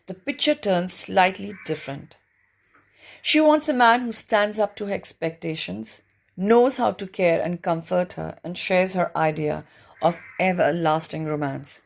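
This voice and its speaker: Woman, audiobook narrator, scripted reading, medium pitch, noticeable vocal fry. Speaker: narrator, reading a book chapter.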